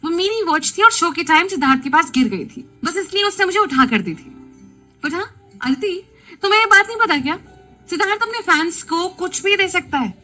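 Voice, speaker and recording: A woman voicing a sharp-tongued, sarcastic young college girl. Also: extremely high pitch, expressive, fairly quick, faint music behind, pitched above the performer's own voice, exaggerated voice. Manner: teasing, sarcastic.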